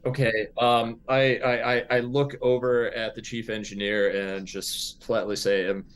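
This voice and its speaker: A man playing a tabletop role-playing game in casual improvised speech, haltingly mulling things over aloud. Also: mid-range voice, flat delivery.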